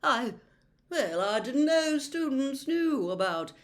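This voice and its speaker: A haughty older lady seer from a storybook. The voice sits high and shrill, and it drags words out very slowly with theatrical, mystical weight. She hems defensively, as if her dignity is at stake. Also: big pitch swings, exaggerated voice. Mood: evasive, defensive. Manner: shrill, drawn-out, dramatic, hesitant hemming.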